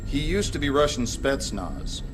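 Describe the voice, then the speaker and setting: A man's voice: very animated, measured pace. A man playing a stern interrogator in a video game cutscene, blunt and pressing. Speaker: actor in a military video game. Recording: noisy background, rumbling ambience.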